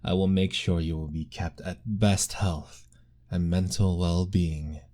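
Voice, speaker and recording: A man voicing an ancient, lovestruck male vampire lord in an ASMR roleplay, formal and soft-spoken, pleading gently. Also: deep voice, fairly even intonation, a little slow.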